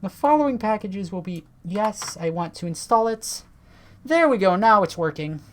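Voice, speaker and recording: A woman's voice: medium pitch, lively intonation, moderate pace. Tired-voiced woman narrating a screen recording. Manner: chatty, swears casually, reads on-screen text aloud. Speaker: tech video creator. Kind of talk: casual screen-recorded software walkthrough.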